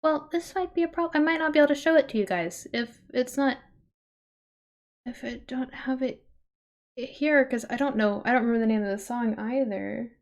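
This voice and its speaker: A woman hosting a livestream, chatting casually in an expressive, exclamatory way. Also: high-pitched, moderate pace. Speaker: livestream host.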